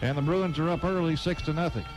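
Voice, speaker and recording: A man's voice: a little high, fast talker, music in the background, low-quality recording. A man giving live radio play-by-play commentary of a football game, as the announcer.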